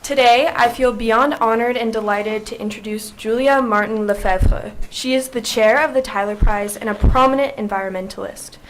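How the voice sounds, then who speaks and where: A woman's voice: a little high, fairly even intonation, moderate pace, hardly any pauses, a little hiss or hum, poor audio. A young woman, a student ambassador, reading a prepared introduction of the panel chair at a live event, with small slips. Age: young adult. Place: event hall.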